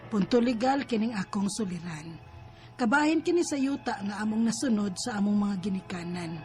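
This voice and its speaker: A woman's voice: medium pitch, expressive, moderate pace, a little mellow, soft background music, close to an everyday voice. An actress with a Cebuano Filipino accent playing a troubled married woman narrating her letter in a radio drama. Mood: earnest recounting.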